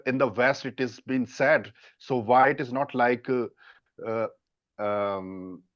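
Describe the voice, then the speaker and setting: Man talking haltingly, dull dry sound. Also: medium pitch, dry recording. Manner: halting, many fillers and restarts. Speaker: dating coach, panelist. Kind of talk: casual panel discussion.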